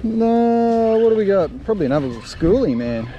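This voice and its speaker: A young Australian man giving relaxed, mumbled running commentary to himself while fishing from a kayak at sea, recorded on a mounted action camera. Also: high voice, brisk, lo-fi sound, a little hiss or hum. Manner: relaxed, mutters to himself, laid-back slang. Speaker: fishing vlogger. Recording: music playing under the voice. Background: music over the talk.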